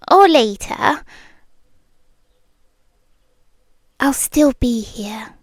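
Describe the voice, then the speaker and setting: A British woman playing a possessive, lovesick stalker girlfriend in an intimate ASMR roleplay, breathy and often whispering, with lingering pauses. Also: high voice, no room sound, studio quality, exaggerated voice. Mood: devoted, eerie. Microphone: close microphone, dry.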